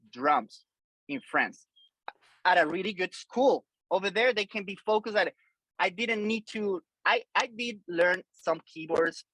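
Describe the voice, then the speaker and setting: A man's voice: high voice, sharp tone, dry recording, poor audio. A man in his forties from Colombia, a drummer guest on a podcast, talking loosely with many fillers and restarts. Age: 40s. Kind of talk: casual interview chat.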